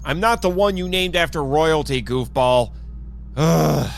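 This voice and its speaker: A man narrating a scripted first-person adventure story in a theatrical, expressive way, acting out his own dialogue as he goes. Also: on the high side, a little slow, creaky, a little hiss or hum. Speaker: podcast host narrating an audio story.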